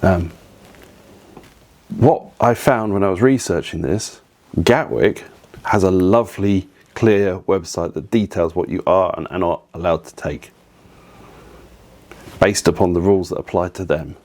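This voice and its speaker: A man talks through family travel tips in an easy, chatty way. His voice sits fairly low. He drifts into opinionated asides and pauses on plenty of ums. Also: a little mellow. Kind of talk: casual advice talk to camera.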